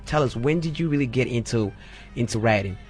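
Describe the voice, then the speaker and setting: A man's voice: medium pitch, expressive, fairly quick, darkish tone, faint music behind. A man hosting a casual radio interview, rambling and repeating his questions in slangy talk. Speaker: radio show host.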